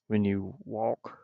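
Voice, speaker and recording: A man with a gravelly voice rambles and mutters to himself, thinking aloud casually as he streams his game development work. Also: on the low side, no room sound. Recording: dull, muffled, dry lo-fi microphone.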